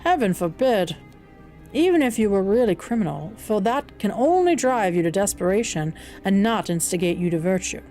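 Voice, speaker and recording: A woman's voice: medium pitch, big pitch swings, normal speed, mellow, faint music behind, natural voice. A woman voicing a kindly, courteous blind old man in an audiobook, with a Southern US accent and an earnest, reassuring, formal manner. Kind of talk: audiobook character voice.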